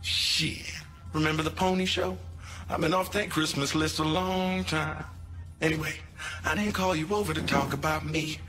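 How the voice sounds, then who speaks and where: A crude, high-pitched swaggering pimp. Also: male voice, fairly even intonation, normal speed, sharp tone, faint music behind. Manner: crude, swaggering, calls people baby. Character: crude male pimp, brash and boastful. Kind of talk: video game cutscene acting.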